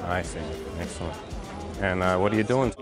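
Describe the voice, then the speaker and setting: Brief: male street presenter, low, chatty and playful, music bed. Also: fairly quick. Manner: upbeat, chatty and teasing. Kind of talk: casual street chat. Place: outdoors on a city street. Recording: street clip with music over it.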